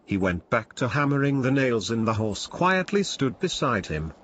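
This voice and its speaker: A man's voice: medium pitch, very animated, moderate pace, fairly bright tone, slight background noise. A man reading a scripted story for children as its narrator.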